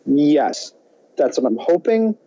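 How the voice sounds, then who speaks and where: A man co-hosting a movie podcast in an animated, exclamatory, casual way, on a slightly dull recording. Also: on the high side, unhurried. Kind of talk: casual chat about films.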